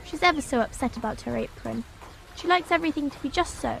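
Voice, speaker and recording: A woman's voice: sits high, fast talker, vocal fry, noisy background. An actress playing the blunt, teasing ghost of a twelve-year-old British girl in a video game, matter-of-fact and needling. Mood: childlike, fussy. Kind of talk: dramatic video game acting.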